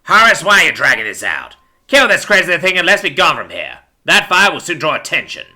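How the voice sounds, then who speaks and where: A man's voice: a little high, huge pitch swings, fairly quick, sharp tone, pitched above the performer's own voice, exaggerated voice. A man voicing a callous, corrupt pig policeman in a story, with crude, folksy, scolding speech. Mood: exasperated. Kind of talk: dramatic storybook character voice.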